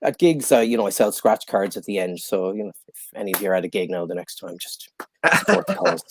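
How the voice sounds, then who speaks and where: A man doing a deadpan stand-up set from home. He halts often and fills his lines with ums and uhs. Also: lowish voice, vocal fry, slight background noise. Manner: halting, full of ums and uhs, self-deprecating. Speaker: stand-up comedian. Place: at home, on a live online show.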